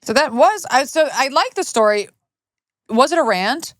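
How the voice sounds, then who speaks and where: A woman's voice: high-pitched, expressive, normal speed, a bit bright, no room sound, clean studio sound. A young woman co-hosting a casual podcast, reacting bluntly and with swearing. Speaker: podcast co-host. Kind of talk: casual chat.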